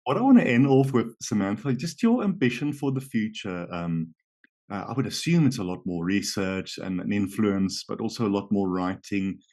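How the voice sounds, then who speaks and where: A man's voice: on the low side, expressive, moderate pace, darkish tone. A middle-aged man, a native Afrikaans speaker, hosting an interview in a chatty, friendly way. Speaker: interview host. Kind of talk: conversational interview.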